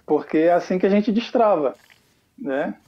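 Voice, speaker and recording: A Brazilian man in his thirties talks with a Brazilian Portuguese accent as a guest on a video call. He is earnest and hesitant and pauses often with fillers. The webcam audio comes through dull and lo-fi. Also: high voice, animated. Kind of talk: casual video-call conversation. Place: home room with flag behind.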